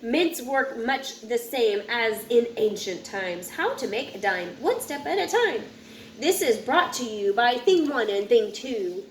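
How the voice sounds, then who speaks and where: A woman reading a rhyming children's picture book aloud in an expressive, sing-song way, occasionally stumbling over a word. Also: on the high side, measured pace. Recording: roomy echo with faint background noise.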